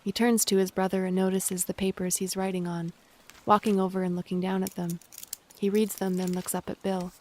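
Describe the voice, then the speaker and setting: A woman's voice: medium pitch, little pitch movement, fairly quick, a little mellow, natural voice. A woman narrating an audio drama, reading out scripted stage directions.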